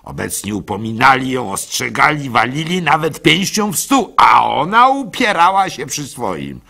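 An actor playing a gullible, soft-hearted village baker who tells his story in a halting, plaintive way for a radio play. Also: medium pitch, sing-song, gravelly, exaggerated voice. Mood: indignant, recounting. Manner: halting, stammering, plaintive, swinging between hushed and loud. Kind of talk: dramatic first-person storytelling for a radio play.

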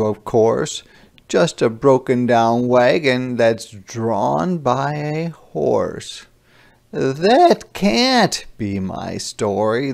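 A man reading a children's storybook aloud expressively and deliberately, with dramatic emphasis and drawn-out line endings. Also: medium pitch, creaky. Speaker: storybook reader.

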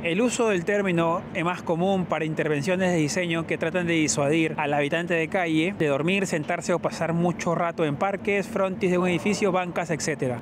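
A man's voice: a little high, background noise. A man in his thirties with a Peruvian accent, explaining things casually to his viewers as a travel vlogger. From Peru. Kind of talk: explanatory vlog narration, casual talk to viewers. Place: mostly outdoors on city streets. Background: background music.

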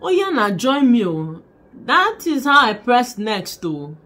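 A young woman with a Nigerian accent, telling a story to camera in a small bedroom in an animated, dramatic way. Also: fairly high pitch, a little slow. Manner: animated, dramatic, laughing, mixing in pidgin. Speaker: vlogger. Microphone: camera in front at desk distance.

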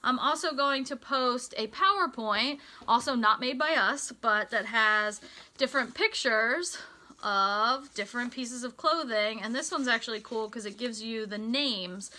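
An American woman reading a picture book aloud to young children, in a deliberate, sing-song teacher's delivery with emphasized words. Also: a little high, sharp tone. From United States. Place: small indoor room with racks of hanging clothes.

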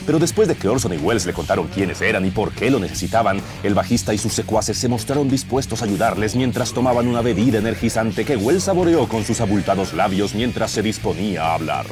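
A man with a Colombian accent delivers scripted radio-serial narration. His voice is fairly low, and he builds mock-epic suspense. Music plays clearly behind him. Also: animated, quick pace, hardly any pauses. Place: dark radio studio. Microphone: close broadcast microphone.

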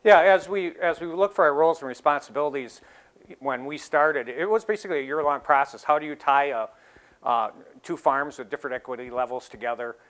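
A middle-aged dairy farmer giving a casual talk in a large exhibition hall, easygoing and full of "you know" fillers. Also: male voice, a little high, brisk, a bit bright. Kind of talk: casual talk to a seated audience.

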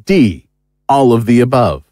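A man acting in an audio drama as a gruff, hostile tough guy with a curt, sardonic delivery. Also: mid-range voice, very animated, measured pace, warm tone, no echo, studio quality.

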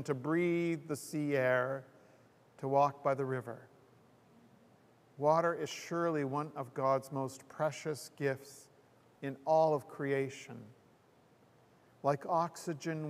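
An older man, a pastor in his sixties or beyond, delivering a sermon in a measured, reflective, reverent way at a church lectern microphone. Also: medium pitch. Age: 60s or older. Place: indoor church, at a pulpit. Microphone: gooseneck lectern microphone. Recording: long echoing hall reverb.

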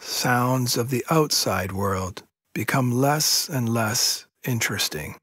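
Slow, breathy male meditation guide. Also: medium pitch, no echo, studio quality. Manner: slow, hushed, soothing, measured instruction with long pauses. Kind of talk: guided yoga nidra sleep meditation.

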